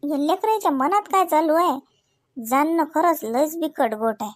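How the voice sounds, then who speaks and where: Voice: high-pitched, lively intonation, normal speed, dry recording, clean studio sound. A performer voicing a nosy, suspicious village aunt in a comedy cartoon, nagging with pointed questions in a rural Vidarbha accent. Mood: suspicious, brooding. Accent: rural Maharashtra, Vidarbha.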